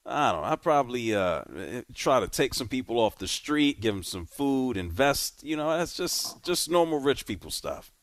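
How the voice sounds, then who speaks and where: Animated male sports radio host. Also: mid-range voice, moderate pace, hardly any pauses, no echo. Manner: animated, playful, laid-back slang. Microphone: studio mic.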